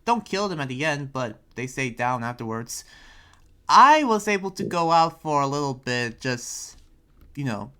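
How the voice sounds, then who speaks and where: A man's voice: mid-range voice, sing-song, a little slow, bright, forceful tone, smooth. Man playing a rambling, earnest young town preacher. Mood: explaining, conversational. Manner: rambling, explanatory, hesitant phrasing. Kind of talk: improvised anime Minecraft roleplay. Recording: background music behind the voice.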